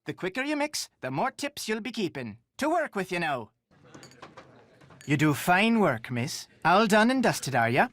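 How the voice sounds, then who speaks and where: An actor playing a brisk, folksy Irish barkeep in a video game, giving polite instructions. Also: male voice, high voice, sing-song, fairly bright tone, no room sound. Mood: pleased, thankful. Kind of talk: video game character dialogue.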